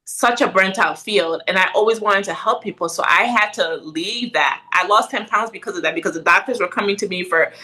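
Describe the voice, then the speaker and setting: A middle-aged woman from Ghana, a podcast guest talking in a casual interview, animated and often ending with "right?". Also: mid-range voice, brisk, few pauses, poor audio. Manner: animated, frequent "right?" tag questions.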